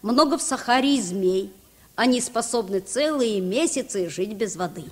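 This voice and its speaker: A bright-minded boy captain lectures eagerly in a vintage children's radio drama. The voice is high and swings expressively, ringing in a long reverberant hall. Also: lively intonation, moderate pace. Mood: enthusiastic storytelling. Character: Dick Sand, brave, knowledgeable boy sea captain. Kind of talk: old Soviet children's radio play, lecturing.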